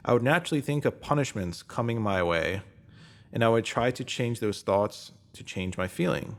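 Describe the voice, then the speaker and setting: A man's voice: medium pitch, animated, moderate pace. A man reading a self-help post as scripted narration, in an earnest, emphatic manner.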